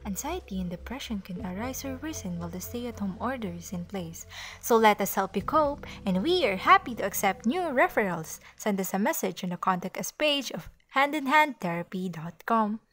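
A woman's voice: medium pitch, lively intonation, normal speed, a bit bright. A woman narrating a scripted advertisement as an announcer.

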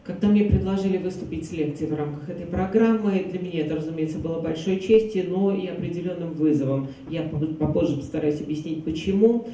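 A woman interpreting a lecture in a large hall, speaking in a smooth, formal way. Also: lowish voice, fast, few pauses, mellow, slight background noise. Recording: echoey hall from far off.

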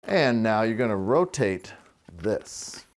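A middle-aged man with a fairly low voice talks through a balloon-twisting demonstration in his living room. His delivery is relaxed and chatty, full of small fillers and dry little asides. The sound is close and clean from a lapel mic. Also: slow pace. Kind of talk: casual tutorial demonstration. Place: living room with fireplace, indoors.

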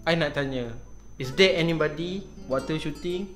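Malaysian male host in his thirties, chatty interviewer, a bit high-pitched, echoey studio with faint music underneath. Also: fairly bright tone. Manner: chatty, quick to laugh, finishes guest's sentences. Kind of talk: casual interview chat mixing Malay and English. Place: small studio set with poster backdrop. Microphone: desk mic on tripod.

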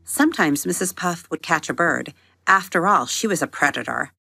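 A woman with a notably low voice narrates a children's bedtime story gently and expressively. Soft music plays clearly beneath her words. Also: animated, moderate pace, dry recording. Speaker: podcast host and storyteller.

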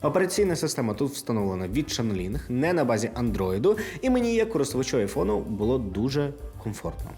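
A man's voice: mid-range voice, very animated, fast talker, a little mellow, soft background music. A man from Ukraine hosting a casual scripted product review, talking conversationally to the viewer like a friend. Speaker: tech reviewer and video host.

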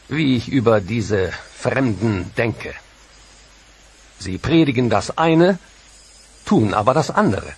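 A man's voice: mid-range voice, breathy edge, exaggerated voice. A man voicing a defiant Inca ruler who rallies his chiefs with an impassioned, rousing speech. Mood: grave. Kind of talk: dubbed documentary reenactment.

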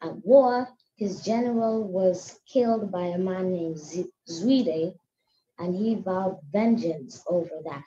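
A woman's voice: fairly low pitch, slow, dark tone. A female student giving an informal explanation in a history lesson. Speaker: student presenter. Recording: muffled, noisy online call.

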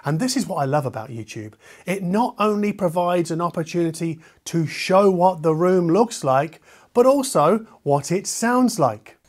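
Male presenter, middle-aged, slightly higher register. Conversational explainer to camera, emphatic with quieter asides, lav mic in a living room. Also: expressive, normal speed. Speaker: audio review channel host. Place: treated living room indoors. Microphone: omnidirectional lavalier.

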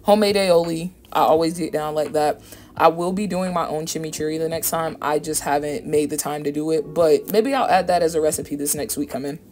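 A woman's voice: sits low, fairly even intonation, fairly quick, a little mellow. An American woman giving a casual, chatty voice-over for a home cooking vlog, informal and slangy. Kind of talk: casual voice-over narration of a recipe. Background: background music.

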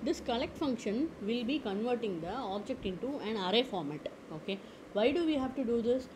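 A woman teaching a screen-recorded software lesson, explaining step by step with frequent "okay" and "uh" fillers. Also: a little high, lively intonation, normal speed, slight background noise, poor audio. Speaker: tutorial instructor. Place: at a computer.

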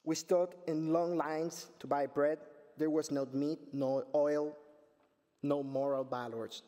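A Cuban man in his forties with a Spanish accent, reading a prepared speech from a podium in an earnest, measured way and restarting words now and then. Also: fairly high pitch, level tone, warm tone. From Cuba. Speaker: panel speaker, artist. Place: conference stage at a podium. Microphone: podium microphone. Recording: long hall reverb.

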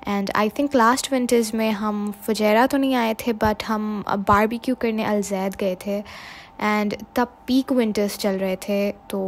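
A woman's voice: medium pitch, steady tone, slow pace. Woman narrating casually, noisy recording. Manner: switches often between Hindi and English. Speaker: travel vlogger narrating a road trip.

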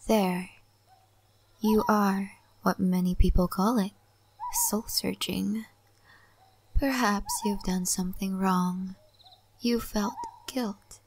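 A woman playing an ancient, wise and cryptic spirit-realm lady in a soft, breathy ASMR roleplay. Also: medium pitch, steady tone, a little hiss or hum. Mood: calm, mysterious. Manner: soft, breathy, often whispering, unhurried.

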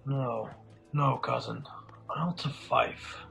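A man acting a grave, wary nobleman in a radio play, with a gravelly mid-pitched voice. Soft music plays faintly behind him. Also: slow, full character voice, low-quality recording. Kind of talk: amateur radio drama acting.

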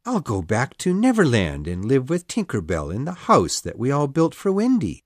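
A woman plays a stubborn boy adventurer from a children's storybook, pitched very low. The delivery swings widely up and down, wistful yet set on never growing up. The recording is dry and close. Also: huge pitch swings, normal speed, a little mellow, dry recording, heavily acted. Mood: wistful, hopeful. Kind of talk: children's audiobook character voice.

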